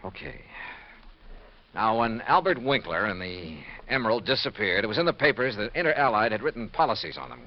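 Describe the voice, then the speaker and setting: An actor playing a brisk, tough, world-weary male investigator in a 1950s radio detective drama, clipped and probing. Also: lowish voice, big pitch swings. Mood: probing, firm. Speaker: lead actor. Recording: dull, noisy old radio recording.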